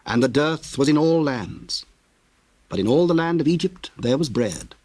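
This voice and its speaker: A man's voice: fairly high pitch, expressive, a little mellow, no echo. Solemn male scripture narrator, dry sound. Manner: measured, formal, theatrical diction.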